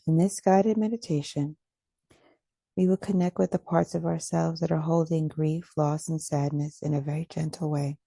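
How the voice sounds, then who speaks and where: A woman leading a guided meditation in a soft, breathy voice, soothing and unhurried, pausing between short phrases. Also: sits low, no echo. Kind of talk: guided meditation, gentle scripted instruction.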